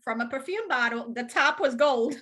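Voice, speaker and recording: A woman's voice: sits high, expressive, moderate pace, bright, forceful tone. A woman from Rwanda and Uganda, a home organizer, talking enthusiastically in a casual interview with many fillers. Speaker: professional organizer, guest.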